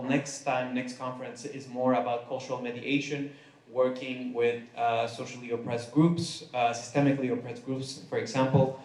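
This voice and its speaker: A man moderating a conference panel, speaking unhurriedly with many filler sounds. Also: medium pitch. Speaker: moderator and studio founder. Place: conference room. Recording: echoey room.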